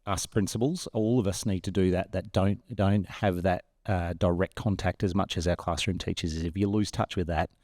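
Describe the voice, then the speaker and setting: A man's voice: low-pitched, fairly even intonation, moderate pace, few pauses, dark tone, dry recording, clean studio sound. A man in his forties with an Australian accent, chatting as a school principal being interviewed on a podcast. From rural New South Wales, Australia. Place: bright glass-walled room, indoors. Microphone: close podcast mic on stand.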